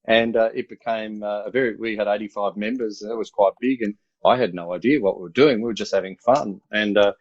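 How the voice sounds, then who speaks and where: A man in his fifties with an Australian accent, an athletics coach telling stories in a relaxed, rambling way over a video call. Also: lowish voice, brisk, hardly any pauses, dry recording, dull audio. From country New South Wales, Australia. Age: 50s. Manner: relaxed, rambling, many uh fillers. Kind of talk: casual remote interview storytelling.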